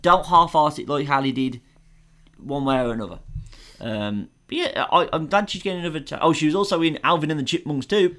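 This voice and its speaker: A man's voice: on the high side, a little fast, a bit bright. A man, co-hosting a podcast and chatting casually about films with strong, eager opinions. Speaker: podcast co-host. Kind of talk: casual film chat.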